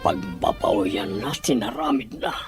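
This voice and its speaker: A man playing a grave, suspicious wronged husband in a radio drama, with a husky voice. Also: medium pitch, lively intonation, a little slow, music in the background. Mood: troubled.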